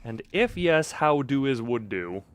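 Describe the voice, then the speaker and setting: A man's voice: medium pitch, sing-song, a little slow, clear, resonant tone. A man doing casual live gameplay commentary, thinking aloud in a playful, muttering way and repeating phrases to himself. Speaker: game streamer.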